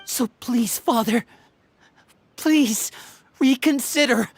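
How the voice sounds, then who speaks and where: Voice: medium pitch, takes it slowly, breathy edge, no room sound. A voice actor playing an earnest young man in a video game, pleading sincerely with his father figure.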